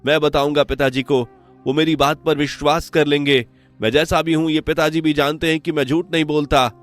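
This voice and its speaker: A man voicing an honest, wronged young prince in an animated story, pleading and defiant. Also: on the high side, brisk, soft background music, natural voice. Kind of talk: animated moral story voice acting.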